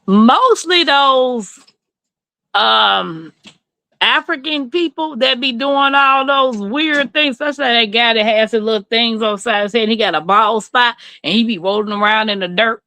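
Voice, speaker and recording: A woman's voice: on the high side, normal speed, bright, forceful tone, dry recording. A middle-aged woman with a Southern US accent, chatting casually and candidly with livestream viewers in a folksy way. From Mississippi, rural southern United States. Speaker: livestream host.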